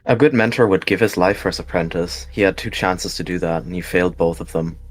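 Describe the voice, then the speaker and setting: A man's voice: deep voice, fairly even intonation, fairly quick. A man giving dramatic third-person narration of his character in a roleplayed story.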